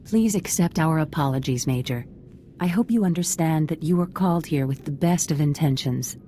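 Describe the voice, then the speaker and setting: An actress playing a gracious female senator in a video game, formal, measured and conciliatory. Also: low-pitched, mellow, slight background noise, close to an everyday voice. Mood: apologetic, gracious.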